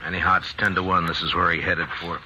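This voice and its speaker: Deep, steady frontier marshal played by a man, gentle but firm, noisy recording. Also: fast talker, lightly acted. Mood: calm resolve. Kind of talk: vintage radio drama acting. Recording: noisy audio.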